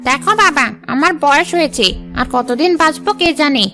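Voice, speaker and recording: A scheming older stepmother from a cartoon, acted by a woman, very high and piercing in pitch. She is greedy and calculating as she coaxes, over faint background music. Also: fairly quick, pushed and bright. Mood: coaxing, calculating. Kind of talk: Bengali cartoon dialogue.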